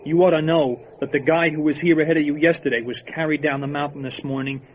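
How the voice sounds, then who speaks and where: A man's voice: a little high, fairly even intonation, fast, close to an everyday voice. A man playing a nervous, watchful deputy in an old-time radio horror drama. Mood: firm warning. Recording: muffled, faintly noisy lo-fi radio recording.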